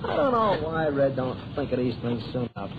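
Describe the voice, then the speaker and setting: A man's voice: mid-range voice, big pitch swings, fairly quick, close to an everyday voice. An actor playing a nervous, wisecracking American newsreel cameraman, hesitant and slangy, in vintage radio drama style. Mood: griping. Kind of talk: 1930s-40s radio acting. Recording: muffled, noisy old radio recording.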